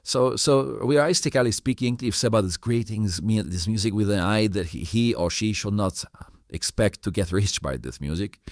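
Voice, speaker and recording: A man hosting a casual interview, speaking haltingly and often repeating and rephrasing his words. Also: lowish voice, dry recording, studio quality. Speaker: interviewer, podcast host.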